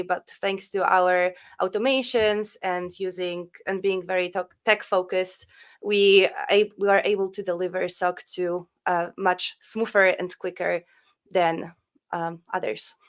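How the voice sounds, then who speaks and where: A woman with a Polish accent, a compliance firm founder, introducing herself on a panel in an earnest, slightly halting way. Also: medium pitch, clean tone.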